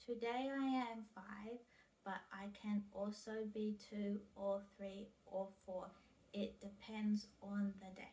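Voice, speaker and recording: A woman in her twenties giving plain, measured self-introductions in an interview-style video, often trailing off softly at the ends of phrases. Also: medium pitch, fairly even intonation, rough recording. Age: 20s. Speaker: video creator.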